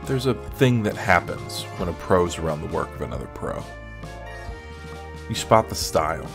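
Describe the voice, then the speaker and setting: A man's voice: deep voice, sing-song, moderate pace, gravelly, heavily acted. A man playing a world-weary American hero, narrating a comic caper in a dry, sardonic, terse way. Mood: brooding. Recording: music behind the voice.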